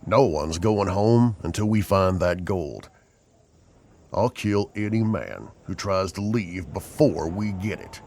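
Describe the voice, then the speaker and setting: Deep, menacing outlaw boss. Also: male voice, exaggerated voice. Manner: commanding, threatening. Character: ruthless, menacing male leader of western outlaws. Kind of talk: audio horror drama acting.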